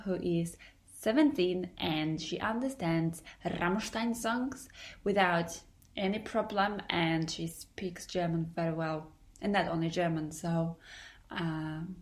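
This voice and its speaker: A woman's voice: lowish voice, steady tone, slow pace. Polish woman hosting a lesson podcast. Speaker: English teacher and podcast host. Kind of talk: solo podcast talk.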